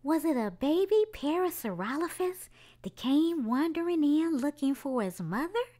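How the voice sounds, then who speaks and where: A woman reading a children's picture book aloud, asking question after question slowly and expressively. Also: high-pitched. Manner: slow, expressive read-aloud with drawn-out questions. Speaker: children's storyteller.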